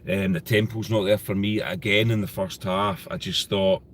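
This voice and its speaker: Very deep-voiced Scottish man. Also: moderate pace, a little hiss or hum. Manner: relaxed, plainspoken, with hesitant ums. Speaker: podcast co-host. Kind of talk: casual football chat.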